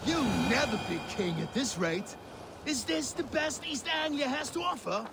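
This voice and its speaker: A blunt Danish warrior in a video game, with a high, gravelly voice. He taunts and scoffs gruffly, over clear background noise. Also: animated, moderate pace, full character voice. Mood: scornful. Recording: game audio with ambient noise.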